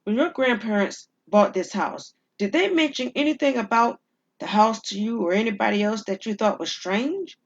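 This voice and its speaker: A woman's voice: on the high side, animated, moderate pace, muffled recording. Woman as worried husband, protective, questioning, audio story character. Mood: concerned. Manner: concerned, questioning. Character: Oliver, concerned protective husband.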